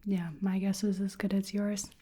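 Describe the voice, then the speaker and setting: A woman speaking softly in a breathy near-whisper, gently musing and hesitating through an unhurried ASMR medical exam roleplay. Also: mid-range voice, completely flat delivery. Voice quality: soft, breathy, often whispering. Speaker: ASMR performer playing a researcher examining a creature.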